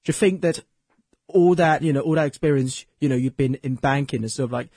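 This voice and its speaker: A man's voice: fairly high pitch, fairly quick, a little mellow, breathy edge, no room sound, studio quality. A man hosting an interview, asking long, rambling questions full of "you know" and restarts.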